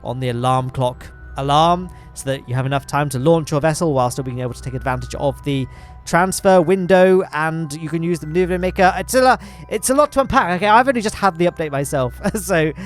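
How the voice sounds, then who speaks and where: A slightly high-voiced English man with a nasal, hay-fever-congested sound, chatting his way through a space game. He rambles, restarts his sentences and pokes fun at himself, with faint music underneath. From England. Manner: rambling, self-deprecating, lots of fillers and restarts. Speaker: gaming channel host. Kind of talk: chatty gameplay commentary.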